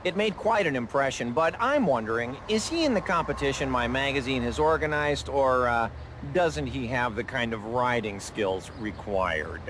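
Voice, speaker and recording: A man's voice: mid-range voice, expressive, moderate pace, background noise, close to an everyday voice. An actor playing a polite, hesitant male magazine writer in a game, speaking formally. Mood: polite probing.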